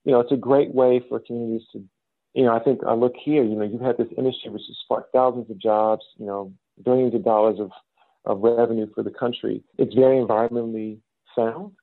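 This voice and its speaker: Gravelly middle-aged American man, muffled line. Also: mid-range voice, fairly even intonation, no room sound. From south side of Chicago, United States. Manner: explanatory, measured, often says "you know". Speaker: interview guest, business school dean. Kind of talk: conversational interview answers.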